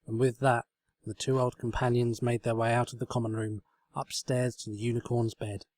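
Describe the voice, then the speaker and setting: A man's voice: mid-range voice, flat delivery, normal speed, a little mellow. A man reading a story aloud as narrator, in a scripted storybook style. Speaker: fan fiction reader, narrator.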